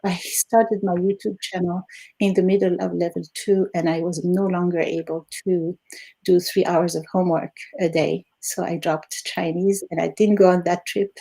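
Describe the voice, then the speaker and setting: A woman's voice: fairly low pitch, gravelly, husky, poor audio. A woman in her sixties from Algeria, a native Arabic speaker, answering interview questions as a guest with frequent laughs and fillers. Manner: warm, laughs often, fillers. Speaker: guest, retired Arabic teacher.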